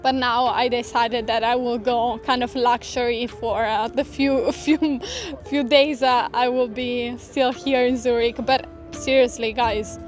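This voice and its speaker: A woman's voice: on the high side, fairly even intonation, a little slow, faint music behind. A young woman from Italy with an Italian accent, an exchange student answering chattily and laughing often. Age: young adult.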